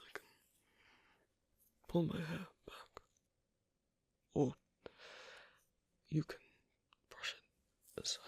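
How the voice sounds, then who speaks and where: A performer voicing a guarded, shyly affectionate werewolf on a date in an ASMR roleplay, speaking in a husky, breathy whisper with a halting, hesitant delivery. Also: medium pitch, no echo, heavily acted. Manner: halting, soft-spoken, hesitant. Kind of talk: ASMR roleplay, one-sided intimate conversation.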